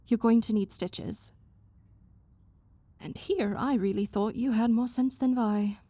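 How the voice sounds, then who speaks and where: A woman's voice: fairly high pitch, steady tone, slow, dark tone, no room sound. A woman voicing a stern, bossy but caring young woman who scolds someone she cares about. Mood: exasperated. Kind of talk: fan audio roleplay.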